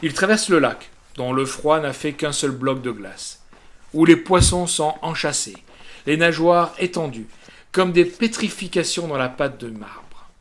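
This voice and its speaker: Dramatic male narrator, slightly high voice. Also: animated, unhurried, a bit bright. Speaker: audiobook narrator. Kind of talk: literary short story reading.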